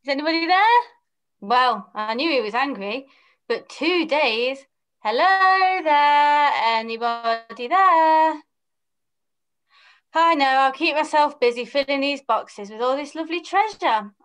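A woman's voice: sits high, expressive, a little slow, fairly bright tone. A woman playing a naive, eager young pantomime hero with stagey exclamations, reading a script over a video call. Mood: lonely, calling out. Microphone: computer mic on video call.

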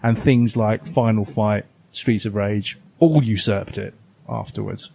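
A man's voice: on the low side, a little slow, vocal fry, mellow, slight background noise, rough recording. A man co-hosting a podcast, chatting casually and giving opinions with emphasis. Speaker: podcast co-host. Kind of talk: casual retro gaming chat.

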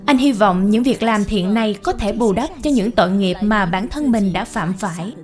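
A woman giving scripted narration of a moral animated story. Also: medium pitch, normal speed. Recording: music behind the voice. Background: music.

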